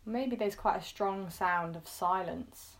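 Very slow-paced woman guiding meditation. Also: medium pitch. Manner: gentle, unhurried, reassuring, with pauses between short phrases. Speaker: meditation guide. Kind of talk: guided meditation, instructional.

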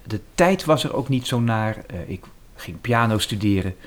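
Male musician interviewee, low voice, Netherlands Dutch, casual anecdotal talk, rephrases as he goes. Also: animated, normal speed.

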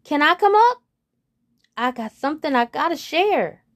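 Woman storyteller doing a young frog dreamer, bright and high, nervous stammer. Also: very animated, moderate pace. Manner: eager, stammering when nervous. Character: young male frog, shy but hopeful dreamer.

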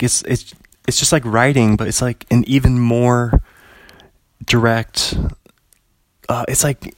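A man hosting a podcast on his own, rambling in a loose, chatty way and often chuckling between thoughts. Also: medium pitch. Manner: rambling, chuckling, heavy use of "like". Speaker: podcast host. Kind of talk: casual solo podcast monologue.

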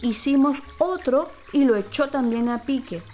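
A woman voicing an old, wise and sly male caiman in a children's storybook radio play, with deliberate theatrical delivery. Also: sits high, expressive, mellow, slight background noise, voice pushed up. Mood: earnest, pleading.

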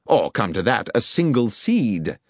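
A man voicing a sharp, commanding middle-aged detective who asks precise questions, in an audiobook reading. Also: lowish voice, very animated, a little slow, no room sound, studio quality, voice pushed up. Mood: probing. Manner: crisp, precise, probing questions.

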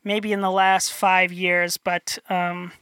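A young man from North Dakota with a high voice, chatting as an interview guest, rambling and self-deprecating with many restarts. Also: flat delivery, slow, sharp tone, clear, resonant tone, no room sound. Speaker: illustrator guest. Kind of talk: casual interview chat.

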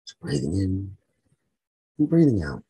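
Very husky, slow man guiding sleep meditation. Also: sits low, very animated, mellow, no echo. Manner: soothing, short phrases with long pauses. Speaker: meditation teacher leading a guided sleep talk-down.

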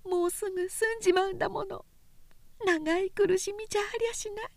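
A woman's voice: very high-pitched, fairly even intonation, a little fast, creaky, a little mellow, no echo, pitched above the performer's own voice. A woman reading a story, voicing an anguished, drunk young woman in a thin, wavering, old-fashioned feminine voice. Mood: drunken resignation.

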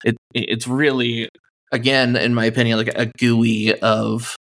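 A man as a podcast guest, talking slowly and calmly in casual conversation, with many fillers. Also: medium pitch. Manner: slow, calm, with many you-knows.

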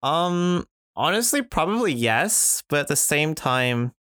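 A man's voice: a little high, sing-song, slow pace, a bit bright, smooth, no echo, studio quality. A man in casual podcast chat, reflective, with many fillers and restarts. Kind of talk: casual conversation.

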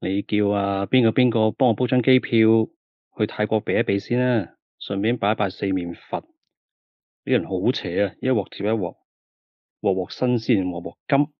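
A flippant, exasperated aging film star, voiced by a man, with a Hong Kong Cantonese accent. His voice sits low and sounds dry and close. The recording is dull and muffled. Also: normal speed, dry recording, close to an everyday voice. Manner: exasperated, glib, bossy. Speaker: actor character on the phone. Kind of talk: Cantonese radio drama acting.

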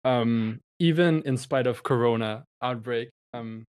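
A young man from Denmark, a musician guest joining by remote call, chats upbeat and laughs easily. His voice is mid-pitched and sounds dry and close. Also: a little slow, no room sound. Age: young adult. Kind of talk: casual interview chat.

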